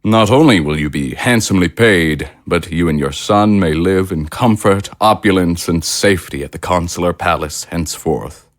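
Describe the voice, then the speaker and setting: An actor playing a suave, self-satisfied political leader in an audio drama, with pompous, elaborately polite diction. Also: male voice, sits low, normal speed, hardly any pauses, natural voice. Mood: self-satisfied, persuasive. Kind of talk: theatrical audio drama acting.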